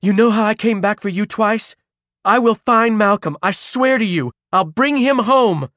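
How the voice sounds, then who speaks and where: A man voicing a determined young warrior woman in an audiobook, urgent and forceful. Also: high-pitched, moderate pace, no echo, clean studio sound, pitched above the performer's own voice. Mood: earnest promise.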